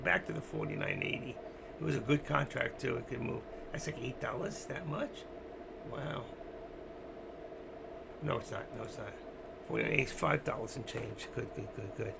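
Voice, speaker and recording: A man hosting a casual trading livestream, rambling to himself and his chat with a hoarse voice. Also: mid-range voice, moderate pace, pauses often, background noise. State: losing his voice. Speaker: stock trading livestream host. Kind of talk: casual live market commentary.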